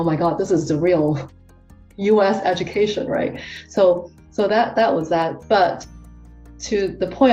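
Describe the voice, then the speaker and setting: A woman talks through her answers in a relaxed, chatty way, full of fillers and self-corrections. Her voice sits a little low and sounds mellow. She is heard on a dull, muffled recording from some distance off the mic, with faint music behind her. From Hong Kong. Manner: chatty, with many fillers and restarts. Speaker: guest tax professional. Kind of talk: casual interview answers.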